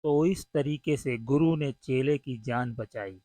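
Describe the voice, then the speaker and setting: A man's voice: medium pitch, a little slow. A man narrating a scripted cartoon story for children. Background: soft music.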